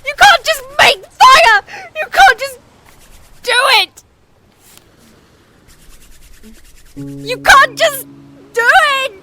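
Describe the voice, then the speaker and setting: A woman's voice: extremely high pitch, animated, takes it slowly, heavily acted. A woman playing an injured, despairing castaway alone on an island, speaking a raw, weary diary monologue in an audio drama. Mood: frantic, desperate. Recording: music behind the voice.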